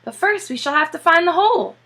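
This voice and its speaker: A woman's voice: very high-pitched, lively intonation, a little fast, sharp tone, pitched above the performer's own voice, exaggerated voice. A woman voicing a short, pot-bellied male farmer with a beastly temper, snappish and scornful, in a storybook.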